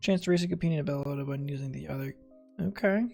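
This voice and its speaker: A man casually commentates over his own gameplay. His voice has a lot of vocal fry, and his remarks are wry and sarcastic. Faint music plays behind him. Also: fairly high pitch, racing, a little mellow. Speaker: gamer streaming a playthrough. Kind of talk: casual game commentary, muttering and reading stats aloud. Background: game music.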